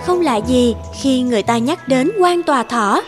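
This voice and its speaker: A woman's voice: high-pitched, expressive, moderate pace. A woman narrator reading a scripted fairy tale in storytelling style. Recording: loud music under the voice.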